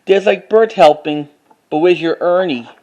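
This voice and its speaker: A man with a high-pitched voice talks casually as he shows off a collection. He reads titles out and speaks in a halting way, often restarting or repeating a phrase. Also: darkish tone, lo-fi sound. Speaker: collector showing finds to camera. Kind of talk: casual unscripted show-and-tell narration.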